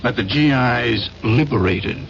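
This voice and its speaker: An actor playing a smooth, insistent American importer and war veteran in a radio mystery, assured and persuasive. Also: male voice, mid-range voice, unhurried, fairly bright tone. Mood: confiding. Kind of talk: vintage radio mystery acting. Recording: muffled vintage radio recording, music behind.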